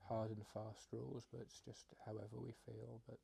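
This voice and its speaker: Husky, low-key man guiding a meditation. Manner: hesitant, halting phrases with long pauses; calm, gentle, soft-spoken. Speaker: meditation teacher. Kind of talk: guided meditation, unscripted instruction.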